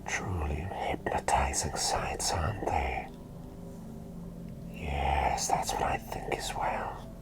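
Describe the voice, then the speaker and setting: A man playing a gentle, doting plague doctor in an ASMR roleplay, whispering soothingly in a politely genteel, rambling way. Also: a bass voice, slow, full character voice. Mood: dreamy, whimsical. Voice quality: soft breathy whisper. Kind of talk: ASMR roleplay, personal attention.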